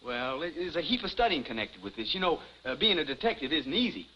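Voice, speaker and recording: A man playing a naive, boastful small-town young man with a folksy, halting manner in 1950s television comedy. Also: a little high, very animated. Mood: self-important. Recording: dull vintage 1950s TV soundtrack, faint noise.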